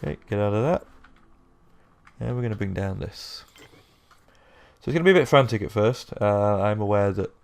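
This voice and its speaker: A young man with a sore, croaky throat, rambling casually through let's-play commentary with lots of fillers. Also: on the low side, moderate pace, long pauses, soft background music. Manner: rambling, self-deprecating, with many fillers. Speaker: gaming YouTuber.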